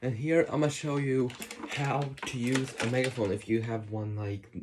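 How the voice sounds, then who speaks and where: A man hosting a casual hands-on how-to video indoors, explaining in a halting, informal way. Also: medium pitch, fairly even intonation. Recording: noisy room with clicks and handling sounds.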